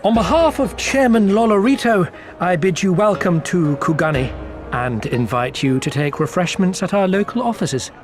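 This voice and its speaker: An actor playing a theatrically courteous merchant and company agent in a video game, talking in florid, circuitous and unctuous phrases. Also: male voice, sits high, expressive, normal speed, music in the background. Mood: obsequious welcome. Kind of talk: scripted video game character dialogue.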